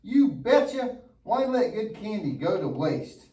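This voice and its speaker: Man playing a high-voiced goofy boy puppet. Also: sing-song, measured pace, mellow. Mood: wisecracking. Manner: exaggerated, wisecracking. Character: goofy, lazy, mischievous young boy puppet. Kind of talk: church puppet show acting. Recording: echoey room, distant mic.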